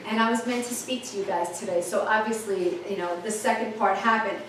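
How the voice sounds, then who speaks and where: A woman's voice: mid-range voice, brisk, slight background noise. New woman, echoey and distant. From the Bronx, New York. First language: Italian. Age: 50s. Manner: animated, candid, keeps checking in with "okay?". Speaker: motivational speaker. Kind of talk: personal story told to an audience. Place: conference room at a retreat. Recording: echoey room from far off.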